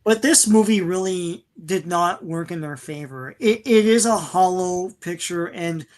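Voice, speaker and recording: A man co-hosting a casual podcast, agreeable and quick with short affirmations. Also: high-pitched, darkish tone. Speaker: podcast co-host. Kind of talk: casual chat.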